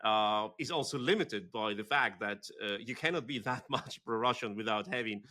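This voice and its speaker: A man's voice: on the low side, normal speed, sharp tone. A man hosting an interview, asking questions in a conversational way and thinking aloud. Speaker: interviewer host.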